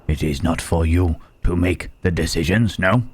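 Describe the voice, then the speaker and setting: A man playing a gentle, melancholy Frankenstein's monster in an intimate ASMR roleplay, speaking haltingly and formally in a husky, breathy rasp. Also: low voice, dry recording. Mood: wry, resigned. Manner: slow, halting, archaic formal phrasing with long pauses.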